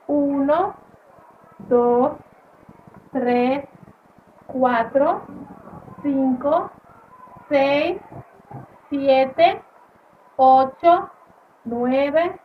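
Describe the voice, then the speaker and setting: A woman teaching a language class, repeating each phrase slowly and translating it. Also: high voice. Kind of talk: slow bilingual language lesson. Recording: echoey room, distant mic, dull and noisy.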